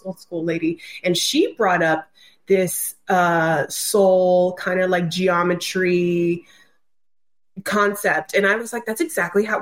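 A woman speaking as a podcast guest, explaining her ideas in a casual, loose, conversational way with many filler phrases. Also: lowish voice. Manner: informal, loose, peppered with "like" and "you know".

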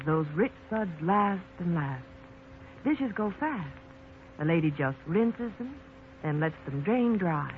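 A man playing a cheerful, confident soap pitchman in a 1940s radio commercial, with smooth, emphatic sales delivery. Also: full character voice. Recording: tinny 1940s radio with hiss.

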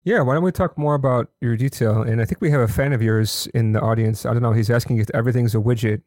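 A man's voice: mid-range voice, fast, a little mellow, no room sound. Guy hosting a podcast on a video call, chatty, says right? a lot, dry close sound. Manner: chatty and apologetic, tags statements with "right?". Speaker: podcast host. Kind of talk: casual podcast interview.

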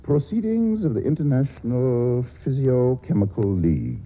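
A man's voice: mid-range voice, sing-song, moderate pace. An actor playing a worldly, cynical male writer with a dry, mocking, patronizing manner, in 1940s radio acting style. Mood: wry. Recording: muffled old radio broadcast, faint hiss.

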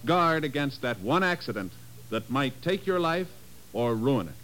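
A man's voice: mid-range voice, very animated, normal speed, a bit bright, slight background noise. Formal 1950s radio announcer, male.

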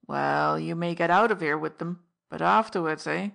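A woman voicing a scheming older male diamond fence who snarls, speaking hoarsely and loudly. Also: deep voice, moderate pace, heavily acted. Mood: snarling. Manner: fierce, then wheedling.

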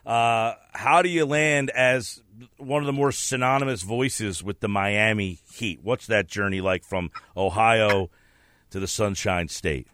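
A man's voice: lowish voice, measured pace, fairly bright tone. A man hosting a sports podcast, asking questions in a conversational interviewing style.

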